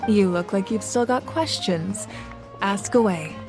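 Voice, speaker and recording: A woman playing a composed leader who carries hard choices, speaking deliberately in a video game. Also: medium pitch, close to an everyday voice. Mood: solemn. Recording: music playing behind the voice.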